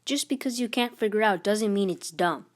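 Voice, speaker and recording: A woman's voice: medium pitch, moderate pace, lightly acted. Woman reading as a clever, teasing schoolgirl. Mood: matter-of-fact. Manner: matter-of-fact, taunting.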